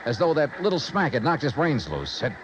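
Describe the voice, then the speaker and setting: Radio noir investigator, hard-boiled and brisk, very varied pitch, clipped delivery, noisy vintage recording. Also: male voice, wildly swooping pitch. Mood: intent narration. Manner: clipped, urgent, wry. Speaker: lead detective and narrator. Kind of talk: 1950s radio noir narration and acting.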